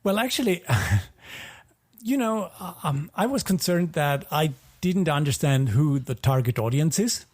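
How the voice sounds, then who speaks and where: A man's voice: fairly high pitch, expressive, a little slow, darkish tone. A man co-hosting a podcast in casual review chat, hesitantly qualifying his opinions and repeating words as he goes. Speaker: podcast co-host.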